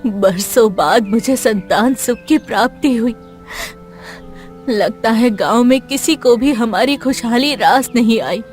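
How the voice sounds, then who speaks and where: An anxious, tender village mother from a cartoon, played by a woman. Her devoted, worried voice sits fairly high over clear background music. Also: a little slow, a little mellow, close to an everyday voice. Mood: sorrowful. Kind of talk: Hindi cartoon voice acting. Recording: prominent music bed behind.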